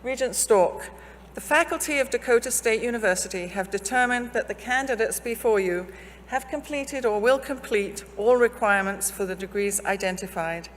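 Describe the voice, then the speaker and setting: A woman's voice: fairly high pitch, normal speed. A woman presides over a graduation, reading formal ceremony lines with scripted precision. Her voice carries a long hall reverb, with a faint hum behind it. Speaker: university official presiding over commencement.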